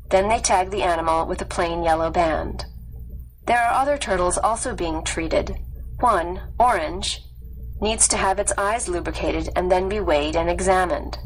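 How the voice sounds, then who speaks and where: A woman narrating a scripted nonfiction story in a measured, clearly read delivery. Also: mid-range voice, slight background noise, rough recording. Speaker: audiobook narrator.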